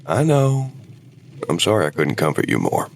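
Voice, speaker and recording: Man acting gravelly older husband, very low voice. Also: huge pitch swings, moderate pace, a little hiss or hum, full character voice. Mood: wistful, regretful. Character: weary older husband, war veteran, regretful but devoted. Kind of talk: audio drama dialogue.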